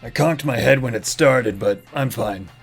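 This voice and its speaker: A man playing a gruff, annoyed young man in a fan audio drama, blunt and sardonic. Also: medium pitch, moderate pace, soft background music.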